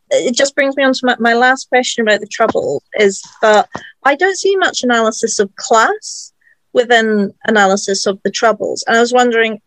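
A woman's voice: mid-range voice, fairly bright tone, no room sound, low-quality recording. A woman asking thoughtful questions in a casual interview conversation.

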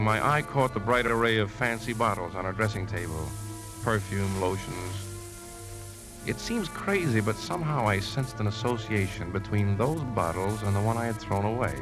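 A male actor as a grave, troubled leading man in a 1950s radio drama. His low voice is measured and earnest, with a brooding air. Music plays clearly behind him on the old broadcast. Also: natural voice. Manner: brooding first-person narration and measured, earnest dialogue. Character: grave, troubled man narrating his plan to trap a poisoner.

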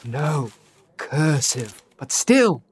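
An actor playing an eager, self-important Victorian amateur detective in an audio fiction comedy, pompous and theatrical. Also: male voice, fairly high pitch, very animated, extremely slow, slight background noise, full character voice. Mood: excited.

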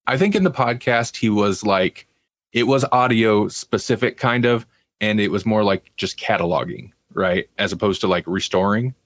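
A man with a fairly low voice co-hosts a casual review podcast. He talks in a rambling, conversational way, and the sound is dry with very little room in it. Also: normal speed, dry recording. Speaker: podcast co-host. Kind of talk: casual review chat about TV.

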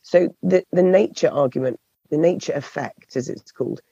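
A woman with a croaky, hoarse voice, answering interview questions thoughtfully and often repeating words as she searches for phrasing. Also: deep voice, moderate pace, mellow, no room sound, dull audio. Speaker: podcast guest.

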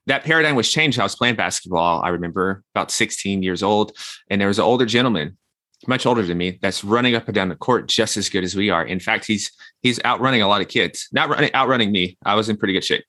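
A man in his thirties with a lowish voice and a bright, forceful edge to it. He talks as an interview guest and works through his points with homely comparisons. The sound is close and dry. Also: dry recording. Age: 30s. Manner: reflective, uses everyday analogies. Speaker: guest, health consultant. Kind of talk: conversational interview.

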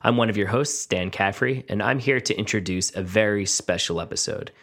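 A man introduces a podcast episode as its host. His voice is on the low side, and he reads from a script. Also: fairly quick, fairly bright tone.

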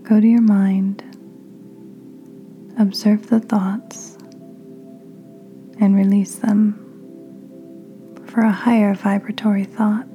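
A woman podcast host leading a guided meditation in a gentle, soothing, breathy near-whisper. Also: medium pitch, little pitch movement, takes it slowly, pauses often. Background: soft music.

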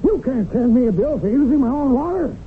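Querulous cheated man, very high voice, animated, on muffled old radio with light noise. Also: brisk. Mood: indignant, baffled. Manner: querulous, sputtering. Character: indignant, flustered male customer being cheated. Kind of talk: 1970s radio drama acting. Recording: muffled, noisy 1970s radio broadcast.

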